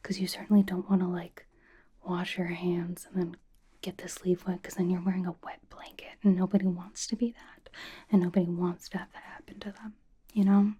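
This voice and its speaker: A woman voicing a young female K-pop idol who is dryly doting and comfort-obsessed, over-explaining playfully in a hushed, casual ASMR roleplay whisper. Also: lowish voice, level tone, moderate pace, slightly rough. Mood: dryly cautionary.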